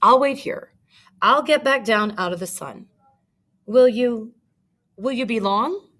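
A woman's voice: a little high, animated, slow pace, fairly bright tone, heavily acted. A woman reading a storybook aloud and voicing an anxious young boy who pleads and frets. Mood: worried. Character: worried young boy stuck on a tank.

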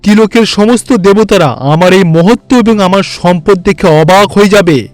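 A man's voice: sits high, moderate pace, faint music behind, lightly acted. A man voicing a vain, boastful god of wealth in a theatrical cartoon fairy-tale style. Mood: proud, gloating. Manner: pompous, gloating, theatrical. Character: boastful, vain rich god of wealth, fawning before superiors. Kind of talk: Bengali animated fairy-tale voice acting.

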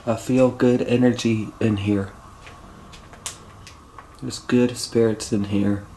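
Male paranormal explorer, late 20s, mid-pitched, hushed commentary, noisy handheld camera sound. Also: fairly even intonation, slow. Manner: low running commentary to camera. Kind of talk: solo night exploration vlog. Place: abandoned house at night, indoors. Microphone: handheld camera mic.

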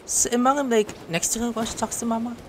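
A woman streaming a video game with casual commentary, playful and excitable, given to exclaiming. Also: on the high side, racing, a little hiss or hum. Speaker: gaming streamer. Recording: loud game music behind. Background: video game music and sounds.

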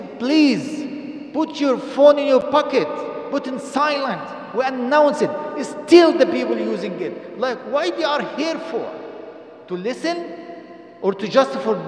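An older man giving a Friday sermon in a mosque at a stand microphone, earnest and exhorting, in non-native English with Arabic phrases mixed in. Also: very high-pitched. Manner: earnest, exhorting, rising to emphatic stress, asks the congregation questions. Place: mosque prayer hall, indoors. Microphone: stand microphone at a lectern. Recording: echoey mosque hall.

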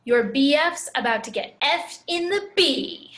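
A woman's voice: high-pitched, lively intonation, unhurried, fairly bright tone. A woman playing a fierce, taunting female villain in a table read over a video call. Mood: scornful, menacing.

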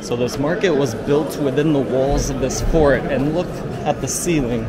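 A man's voice: medium pitch, normal speed. Upbeat young man vlogging in a noisy market. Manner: upbeat, chatty, laughs often. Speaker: travel vlogger. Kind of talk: casual walking vlog. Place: large covered market, indoors. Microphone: action camera at arm's length. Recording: loud background noise. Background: busy market noise.

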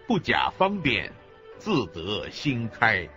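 A man playing a solemn, emphatic reciter of Buddhist sayings on radio, with a raspy, forceful voice. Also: fairly low pitch, huge pitch swings, takes it slowly, full character voice. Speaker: reader of quotations in a radio lecture. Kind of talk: solemn scripted scripture recitation. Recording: dull lo-fi recording with faint music.

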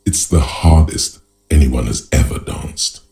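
A man voicing a grave, deliberate inner narrator in a video game, in dramatic scripted style. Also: rumbling low, creaky, dark tone, full character voice. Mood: solemn, portentous. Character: grave male narrator, inner voice of a detective's mind. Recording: music behind the voice.

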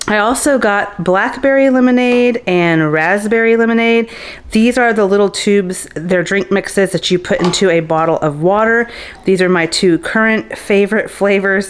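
A woman in her thirties chatting casually through a grocery haul vlog at home, upbeat and friendly, with little laughs and fillers. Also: mid-range voice. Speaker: vlogger. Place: home kitchen and living room.

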